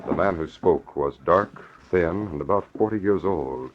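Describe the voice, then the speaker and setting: A man's voice: low voice, a little slow. A man delivering grave, scripted 1940s radio narration for a crime serial, on a muffled old recording with faint noise. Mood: grave, suspenseful.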